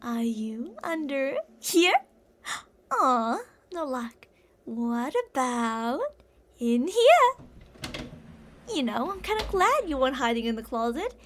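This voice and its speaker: Obsessive female yandere captor played by a woman, ASMR roleplay: high, very animated, theatrical, sugary endearments laced with menace. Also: slow, long pauses, full character voice. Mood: playful hunting. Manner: theatrical, teasing sing-song with endearments and threats. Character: older obsessive yandere woman kidnapper, possessive, menacing, sweet-talking.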